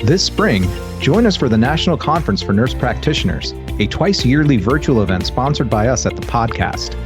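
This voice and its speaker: A man hosting a podcast, reading an ad script and introducing his guest in a smooth, announcer-like way. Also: medium pitch. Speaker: podcast host. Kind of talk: scripted ad read and interview questions. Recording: music bed under the voice.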